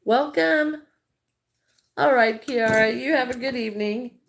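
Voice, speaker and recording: A woman's voice: a little high, measured pace, creaky, a little hiss or hum. A middle-aged Midwestern American woman chatting casually on a crochet livestream, greeting viewers by name and thinking aloud as she works.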